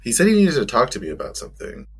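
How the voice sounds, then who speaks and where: A man's voice: mid-range voice, huge pitch swings, racing, gravelly, slightly rough. A man playing in a casual tabletop game podcast, giving short, wry replies. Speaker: player.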